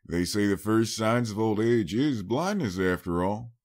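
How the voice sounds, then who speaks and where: A man's voice: lowish voice, lively intonation, a little slow, a little mellow, pitched above the performer's own voice. A man voicing a good-natured, fatherly older stallion with a folksy, easygoing, slightly boastful manner in a storybook reading. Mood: kindly.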